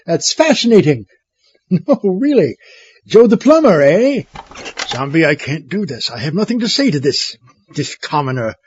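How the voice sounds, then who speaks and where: A man's voice: fairly high pitch, big pitch swings, moderate pace, highs cut off, slight background noise. A man playing a pompous, gleeful mad scientist who wants to be dictator, haughty and cackling, in a comedy audio drama. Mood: haughty disdain.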